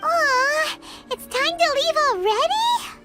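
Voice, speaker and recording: A woman's voice: very animated, slow pace, full character voice. A woman voicing a tiny, chirpy female fairy companion who talks about herself in the third person, in game dialogue. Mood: curious. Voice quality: high and squeaky. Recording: game audio with loud music behind.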